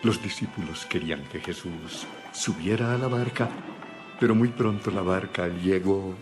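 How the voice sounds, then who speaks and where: A man reading scripted Bible narration with solemn, deliberate phrasing. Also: sits low. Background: background music.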